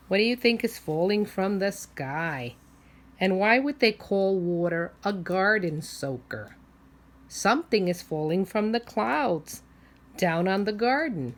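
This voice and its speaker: A woman preschool teacher reading a picture book aloud to young children, explaining simply, asking questions and drawing out key words. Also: medium pitch.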